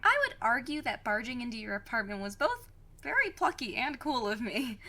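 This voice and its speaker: Woman playing a high-voiced, plucky teenage girl. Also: sing-song, a little fast, pushed and bright, full character voice. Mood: cocky, insistent. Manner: precise, formal wording, self-assured. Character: plucky, cocky 15-year-old girl cursed to detect lies. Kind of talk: audio drama acting.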